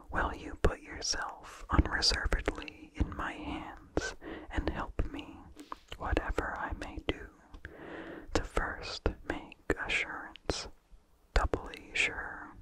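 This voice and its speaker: Slow, fully whispered ASMR storyteller. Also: low-quality recording. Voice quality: breathy, fully unvoiced whisper. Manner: slow, measured, deliberate phrasing. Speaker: narrator reading a story aloud. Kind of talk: whispered ASMR reading of a vintage horror story. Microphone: close microphone with incidental mouth sounds.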